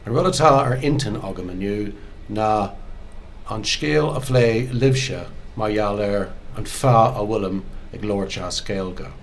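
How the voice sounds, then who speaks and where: An older man with a Belfast accent tells his own story slowly, giving each phrase in Irish and then in English, with some hesitations. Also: fairly low pitch, slight background noise. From Northern Ireland. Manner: measured, unhurried, with hesitations. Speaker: museum storyteller.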